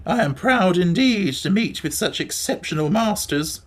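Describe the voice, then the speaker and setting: A squeaky, high-pitched flying beast from a storybook, as an audiobook narrator puts him on. He is mournful and formal, his voice swooping up and down with strong intonation. It is a huge creature with a small, piping voice. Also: animated, normal speed. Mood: careless. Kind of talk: audiobook character voice.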